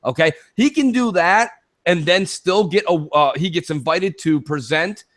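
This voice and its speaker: A man with a fairly high voice and very lively intonation co-hosts a call-in gaming show. He argues his opinions emphatically, using rhetorical questions and punchy repetition to drive each point home. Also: moderate pace. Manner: emphatic, rhetorical, punctuates points with "okay" and "listen". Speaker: co-host of a gaming talk show.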